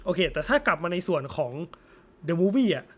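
ผู้ชายเสียงสูง คุยสบายๆ ค่อยๆ เรียบเรียงคำพูด. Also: male voice, high voice, lively intonation, moderate pace, a bit bright. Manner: thoughtful, searching for words, many fillers. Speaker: co-host. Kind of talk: casual chat about a film.

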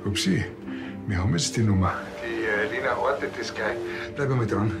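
A man's voice: fairly low pitch, normal speed, creaky, music in the background. An actor playing an easygoing, chatty Bavarian policeman in a TV crime comedy. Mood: matter-of-fact.

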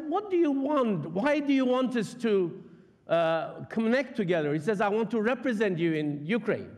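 A man's voice: high voice, darkish tone. A middle-aged man from Iran whose first language is Persian, preaching a sermon in an impassioned, emphatic way and leaning hard on weighty words. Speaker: guest preacher. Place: large church sanctuary stage. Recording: long reverb of a church hall.

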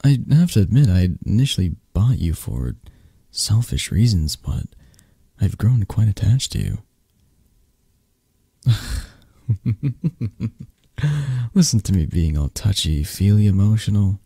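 Guy doing a possessive mafia boss in asmr roleplay, soft breathy gravelly murmur, really close and dry, flirty and comforting. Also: on the low side, big pitch swings, no echo, heavily acted. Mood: affectionate, bashful.

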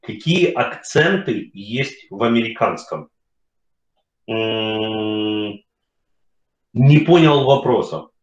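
A man's voice: on the low side, expressive. Man, forties, from kharkiv, hesitant and filler-heavy, roomy echo, dull lo-fi sound. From Kharkiv, Ukraine. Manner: hesitant, many fillers and pauses. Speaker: webinar speaker, company CEO. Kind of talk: casual webinar talk and Q&A. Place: home room, indoors. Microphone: webcam on video call. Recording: roomy, dull video call audio.

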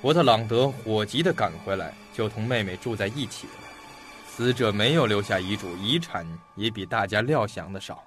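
An actor playing a shrewd, talkative, self-assured detective in an audio mystery drama, laying out a case in a theatrical storytelling manner. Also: male voice, on the low side, animated, normal speed, fairly bright tone, soft background music.

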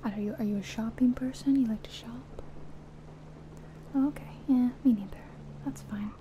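A woman whispering softly and breathily in an ASMR roleplay as a friendly taxi driver, chatting gently and without hurry. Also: on the high side, normal speed, muffled recording. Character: friendly small-town taxi driver giving a guided ride. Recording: steady car and rain noise. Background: car sounds and rain.

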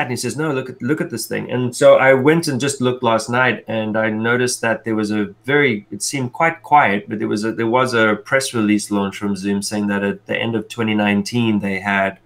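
A middle-aged South African man co-hosting a casual tech chat over a video call, rambling and thinking aloud with frequent restarts. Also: lowish voice. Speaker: co-host. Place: indoor room on a video call. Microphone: wired earbuds on a video call.

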